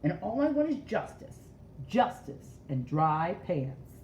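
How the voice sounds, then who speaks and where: A woman's voice: on the low side, very animated, takes it slowly, darkish tone. A woman voicing an indignant, self-important male storybook character who accuses his friends with sarcastic melodrama. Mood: indignant, aggrieved. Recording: roomy echo, dull sound, faint noise.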